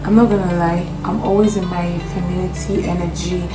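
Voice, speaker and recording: A young Nigerian woman with a Nigerian accent, hosting a casual chat, outspoken and animated, slipping into Pidgin phrases. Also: on the low side, a little fast. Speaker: vlogger host. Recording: roomy sound with music behind.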